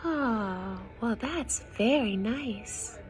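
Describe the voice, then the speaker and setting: Slow-paced woman reading to young children. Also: a little high. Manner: expressive, gentle, sing-song reading, often dropping to hushed asides. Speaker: storyteller reading a picture book to young children. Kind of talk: children's read-aloud with asides to listeners. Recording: some background noise.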